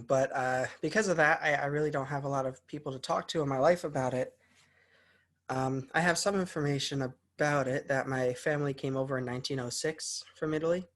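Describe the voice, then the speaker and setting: A man's voice: medium pitch, fairly bright tone. A young American man, a college student, speaking informally and a little hesitantly as he shares in an online class. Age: young adult. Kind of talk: informal spoken class presentation. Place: online class video call.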